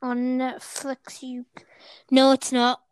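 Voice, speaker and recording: A woman's voice: high voice, completely flat delivery, slow, darkish tone, smooth, no room sound. A young woman hosting a casual chat, rambling with fillers between her questions.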